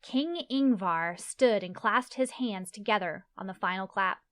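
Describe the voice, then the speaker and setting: A woman's voice: mid-range voice, unhurried, a bit bright, smooth. Casting: female narrator, medium register, scripted fantasy storytelling.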